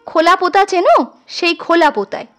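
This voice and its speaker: A woman's voice: extremely high pitch, fairly quick, fairly bright tone, voice pushed up. A woman voicing a playful, caring, simple-hearted village sister-in-law in a storybook reading. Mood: proud. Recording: music under the voice.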